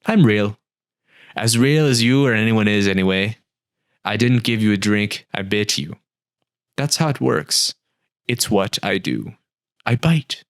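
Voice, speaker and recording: A man voicing a calm old male talking desert snake that explains things patiently, with a matter-of-fact, wry manner, in a storybook reading. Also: mid-range voice, no room sound, clean studio sound.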